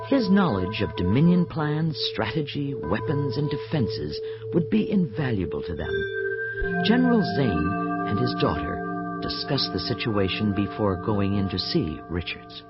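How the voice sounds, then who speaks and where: Dramatic sci-fi radio narrator, solemn, very animated pitch, muffled with big music. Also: male voice, a little slow. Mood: grave, suspenseful. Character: solemn male narrator of a space saga. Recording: dull lo-fi audio under loud music.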